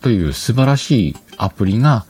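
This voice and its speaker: Deep-voiced, animated male podcast host. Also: brisk. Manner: rambling, self-correcting, colloquial. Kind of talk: casual chatty solo show. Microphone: dedicated microphone.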